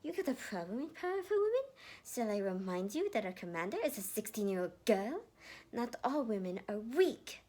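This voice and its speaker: A woman voicing a feisty, blunt young female shapeshifter with a scolding, forceful delivery in a story reading. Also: medium pitch, sing-song, normal speed. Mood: indignant.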